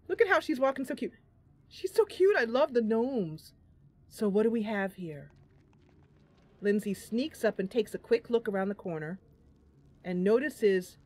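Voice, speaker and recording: A woman's voice: a little high, a little mellow, no room sound. A woman hosting a live game stream, chatting casually and narrating in a playful, chatty manner. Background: game music.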